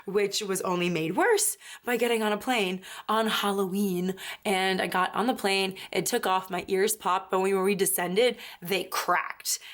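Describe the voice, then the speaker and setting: A woman's voice: mid-range voice, fairly bright tone. A woman in her twenties chatting casually about her weeks in a planner flip-through, rambling and correcting herself as she goes. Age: 20s. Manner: rambling, self-correcting, lots of "like" and "um". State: recovering from illness, voice partly lost. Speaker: planner vlogger.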